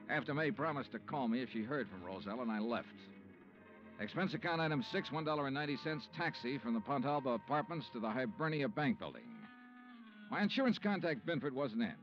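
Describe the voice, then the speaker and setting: An actor playing a dogged, hard-boiled insurance investigator in a 1950s radio drama, clipped and wry. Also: male voice, mid-range voice, natural voice. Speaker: lead actor and narrator. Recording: dull old radio recording, music behind.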